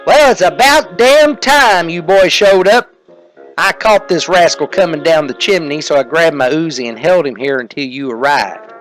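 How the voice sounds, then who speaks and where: A man's voice: a little high, moderate pace, a bit bright, faint music behind, pitched above the performer's own voice, lightly acted, poor audio. A man voicing a cranky, gun-toting old farmer in a comic detective parody. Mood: cranky, boastful.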